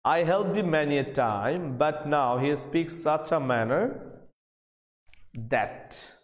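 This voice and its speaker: A man's voice: a little high, animated, takes it slowly. A man with a Bangladeshi accent giving a live English grammar lesson as a lecturer, addressing students and often repeating himself. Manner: repeats phrases and questions, calls out names. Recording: very echoey room, long reverb.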